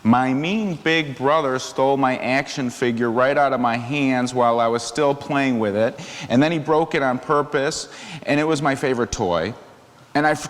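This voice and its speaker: A man's voice: mid-range voice, close to an everyday voice. Man playing an upset little boy in an echoing hall. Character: upset little boy pouring out a string of woes. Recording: reverberant hall, faint background noise.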